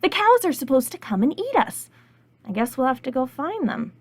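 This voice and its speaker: A woman voicing puzzled field grass in a children's story, with breathy, hushing swishes. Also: sits high, sing-song, normal speed, dry recording, exaggerated voice. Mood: puzzled, hushed.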